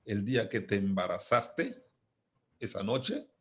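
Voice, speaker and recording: A man from Congo with a Congolese accent, giving a reflective philosophical talk as an invited speaker, deliberate and emphatic, repeating key phrases. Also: fairly low pitch, huge pitch swings, fairly bright tone.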